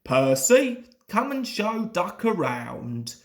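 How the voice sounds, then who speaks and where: A woman's voice: fairly low pitch, very animated, slow pace, full character voice. A woman voicing a stern, bossy male railway controller in a storybook, giving emphatic orders. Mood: brisk, commanding.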